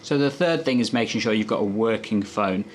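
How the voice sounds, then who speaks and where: A man's voice: on the low side, fairly quick, very noisy. A man presenting health tips as a doctor, explaining in a conversational, instructive way to viewers.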